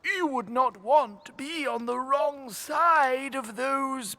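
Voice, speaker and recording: Fantasy audio drama: ancient head priest, high-pitched, extremely slow, obsequious, quavering, insinuating. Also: female voice, gravelly, a bit bright, no room sound, exaggerated voice. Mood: ominous deference. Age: older. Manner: halting, quavering, deferential.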